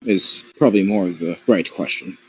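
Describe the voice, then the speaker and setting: A man's voice: sits low, moderate pace, husky. A man playing a tabletop game, moving between casual chat and talking in character. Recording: dry, dull lo-fi audio.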